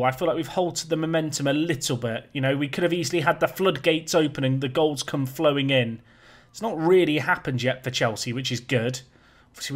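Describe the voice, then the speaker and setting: A man talking casually over a football management game, joking and self-deprecating as he goes. His voice sits a touch high for a man and sounds slightly raspy and worn today. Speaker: gaming YouTuber.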